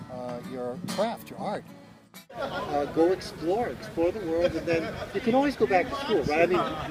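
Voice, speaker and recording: A man's voice: fairly high pitch, poor audio. A middle-aged man from Hawaii, a musician chatting casually and philosophically in a rambling way during an interview. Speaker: street musician being interviewed. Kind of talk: casual reflective chat. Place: outdoors by a beach park. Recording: loud outdoor background noise with vehicle sounds.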